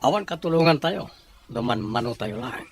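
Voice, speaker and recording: A man acting a scheming leader of a rebellious gang in an Ilocano audio drama, with a husky voice. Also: medium pitch, animated, normal speed, natural voice. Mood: commanding, plotting. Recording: music bed behind the voice.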